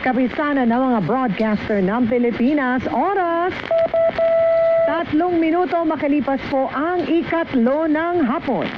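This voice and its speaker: A woman's voice: high voice, measured pace. A woman with a Filipino accent making a scripted station announcement on radio. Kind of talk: scripted station identification and time check. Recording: lo-fi radio broadcast, noisy background.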